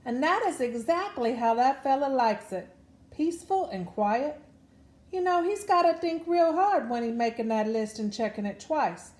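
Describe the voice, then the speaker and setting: A woman's voice: sits high, expressive, moderate pace, a little mellow. A woman reads a children's story aloud in a folksy, dramatic storytelling manner. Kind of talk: animated Cajun folk storytelling. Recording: roomy echo around the voice.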